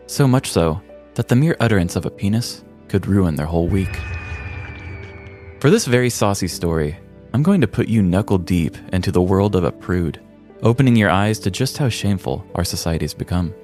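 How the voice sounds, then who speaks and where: A man's voice: fairly low pitch, animated, normal speed, a little mellow, soft background music. An American man hosting a podcast, reading a scripted comedic story with arch, theatrical mock-seriousness. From United States. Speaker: podcast host.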